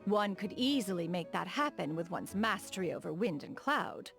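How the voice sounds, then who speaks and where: A woman's voice: fairly low pitch, expressive, normal speed, vocal fry, a bit bright, soft background music. An actress voicing a refined, dignified immortal woman and proud inventor in a video game, speaking in a formal, stately manner. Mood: eager, proud. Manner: formal, stately, refers to herself as "one". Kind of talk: video game character dialogue.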